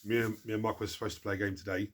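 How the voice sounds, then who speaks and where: A man's voice: lowish voice, level tone, very fast, noisy background. A man hosting a hobby channel, chatting casually through viewer questions, stuffed up from a cold and rambling as he restarts his sentences. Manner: rambling, self-interrupting, restarts words. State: congested from a cold. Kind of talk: casual chat.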